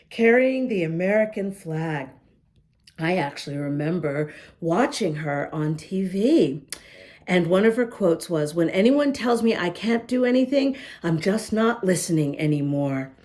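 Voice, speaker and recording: A middle-aged woman reads a children's picture book aloud at a leisurely, unhurried pace. Her voice sits at an ordinary middle pitch, and her gentle manner lets her pause to comment on the pictures. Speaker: children's story reader.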